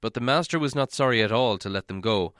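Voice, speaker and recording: A male audiobook reader narrates a storybook expressively. His voice sits at a medium pitch, and the sound is dry with little room around it. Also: fast talker, a bit bright, no room sound.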